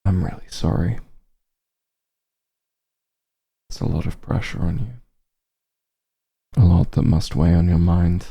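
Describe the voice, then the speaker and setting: A man playing a gentle, concerned doctor who is an old friend, speaking slowly and soothingly in a soft ASMR roleplay, with a low, husky voice. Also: full character voice. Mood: concerned, sympathetic. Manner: slow, soothing, reassuring.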